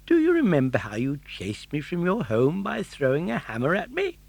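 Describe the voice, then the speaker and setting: A man's voice: on the high side, wildly swooping pitch, moderate pace, gravelly, voice pushed up, full character voice. A man voicing a small talking cricket, a wise moraliser with a pointed, admonishing manner, in an audiobook. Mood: chiding.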